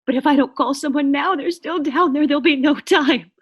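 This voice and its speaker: A woman's voice: sits high, brisk, muffled recording, lightly acted. A woman playing an anxious, demanding invalid wife in a radio thriller, agitated and stammering as fear takes hold. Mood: fearful, hushed.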